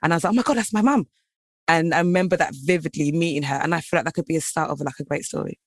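A woman's voice: sits low, rapid-fire, no room sound. British woman chatting, close and dry. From England, early childhood in Nigeria. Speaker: podcast co-host. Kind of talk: casual chat.

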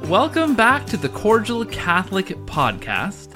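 A man's voice: sits high, huge pitch swings, measured pace, sharp tone, faint music behind. A man hosting a podcast in a conversational, enthusiastic way, introducing and interviewing. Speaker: podcast host.

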